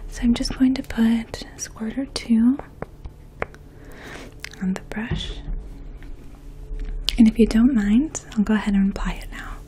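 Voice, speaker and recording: A woman in her twenties speaking in a soft, hushed, breathy voice, gently explaining things as a makeup artist in an ASMR role play. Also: a little high, measured pace, pauses often. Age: 20s. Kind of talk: ASMR role play, addressing the listener as a client. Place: small indoor room. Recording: faint steady outdoor hum. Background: distant lawn mower.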